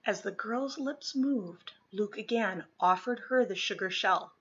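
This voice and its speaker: Bright-voiced woman reading a storybook aloud. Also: medium pitch, lo-fi sound. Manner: expressive, deliberate phrasing with dramatic pauses and emphasis. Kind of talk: dramatic children's picture-book read-aloud.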